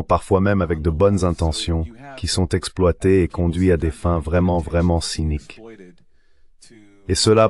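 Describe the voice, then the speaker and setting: A man with a low-pitched voice speaks as a panelist. He delivers political commentary in the form of a translated voice-over, as part of a discussion. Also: darkish tone.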